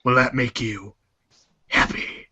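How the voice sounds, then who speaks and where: A man playing a cocky, foul-mouthed teenage boy with a deadpan, slangy, sarcastic delivery and husky voice in a storybook fan dub. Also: medium pitch, takes it slowly, dull audio, exaggerated voice. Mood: sardonic, cocky.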